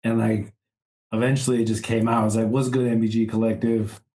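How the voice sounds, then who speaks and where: Brief: a laid-back man with a low, mellow voice who rambles through his stories as a podcast guest. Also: fairly quick. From Chile, raised in the US South. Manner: relaxed, rambling, heavy use of "like". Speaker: podcast guest, apparel founder. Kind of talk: casual storytelling interview.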